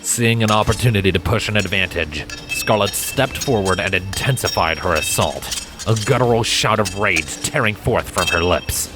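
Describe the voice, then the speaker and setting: A man reading a dramatic fantasy story as a scripted narrator, measured and deliberate. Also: deep voice, music in the background. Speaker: fan fiction narrator.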